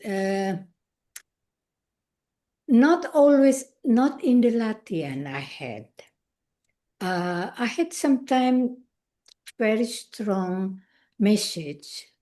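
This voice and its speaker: An older woman from Indonesia, whose first language is Indonesian, speaking haltingly and searching for words in an interview. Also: mid-range voice, warm tone. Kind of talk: conversational interview.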